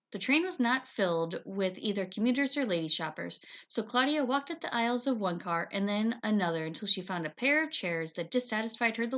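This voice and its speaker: A woman teacher reading a chapter book aloud to children in an expressive, dramatizing manner. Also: medium pitch. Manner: animated, dramatizes dialogue.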